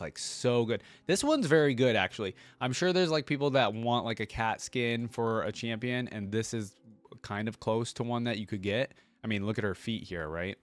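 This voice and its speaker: A man's voice: medium pitch, clear, resonant tone. A man giving casual reaction commentary as a video host, chatty and enthusiastic as he thinks aloud.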